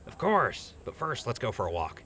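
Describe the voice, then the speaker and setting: A man's voice: medium pitch, big pitch swings, normal speed, bright, forceful tone, slight background noise. A man playing an easygoing, teasing mentor and buddy in an audio drama, casual and joking. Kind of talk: post-apocalyptic audio drama acting.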